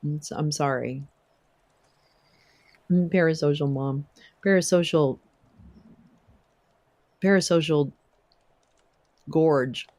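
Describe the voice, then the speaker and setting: A young woman hosting a casual livestream, chatting with viewers, swearing and laughing often, her voice wearing hoarse. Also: low voice, slow, pauses often, darkish tone. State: losing her voice. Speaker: livestream host. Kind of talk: casual livestream chat reading viewer comments.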